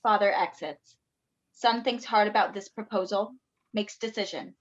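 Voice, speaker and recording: A woman's voice: mid-range voice, normal speed. A woman reading stage directions aloud for a play, recorded over a video call. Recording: dull, lo-fi video-call audio.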